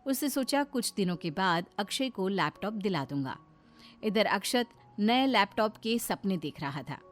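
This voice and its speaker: A woman's voice: mid-range voice, lively intonation, a little slow, soft background music. A woman giving scripted narration of a story.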